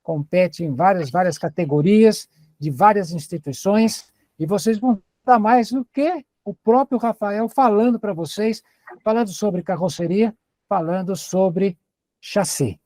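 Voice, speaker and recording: A man hosting an online session, warmly thanking and introducing a guest speaker over a video call. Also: sits high, unhurried, no room sound. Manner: warm, grateful. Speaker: program host.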